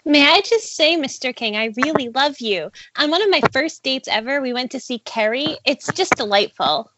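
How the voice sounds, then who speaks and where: Casting: woman as kind, gushing young auditionee, high voice, dull internet-call sound. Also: expressive, moderate pace. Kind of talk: comedic amateur script table read. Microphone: remote video-call microphone. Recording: muffled internet call, faint noise.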